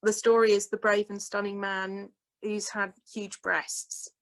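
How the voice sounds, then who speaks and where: A woman's voice: mid-range voice, little pitch movement, measured pace. A woman speaking as a guest at an online talk, emphatic and indignant.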